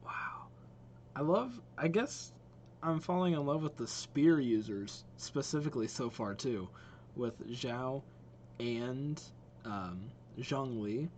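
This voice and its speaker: A man's voice: medium pitch, lively intonation, takes it slowly, a little mellow, a little hiss or hum. A young man hosting a game reaction video, giving casual, off-the-cuff commentary. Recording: faint game music behind voice. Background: game trailer music.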